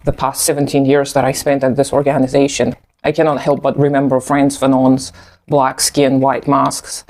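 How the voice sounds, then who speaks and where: A man in his thirties whose first language is Russian, giving thoughtful, measured personal commentary in English with an occasional soft laugh. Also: mid-range voice, creaky. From post-Soviet country. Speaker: video essayist.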